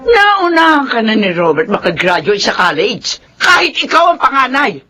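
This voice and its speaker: A woman's voice: a little high, big pitch swings, normal speed, pushed and bright, slight background noise. A volatile, nagging middle-aged mother. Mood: angry scolding. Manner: nagging, fretful. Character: exasperated, scolding mother of two grown sons. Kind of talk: Filipino radio drama acting.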